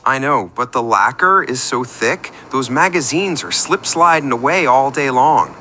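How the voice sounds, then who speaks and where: A man acts out a self-pitying, overlooked radio caller in a scripted comic radio play. His voice is bright and swings widely in pitch as he confesses in wordy, theatrical detail, with music underneath. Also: animated, a little slow. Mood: eager, fussy.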